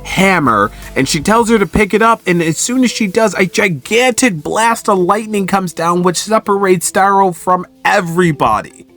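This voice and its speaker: A man giving a casual, enthusiastic story recap as a host, with an animated, excitable way of telling it. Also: fairly high pitch. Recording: music under the voice. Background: background music.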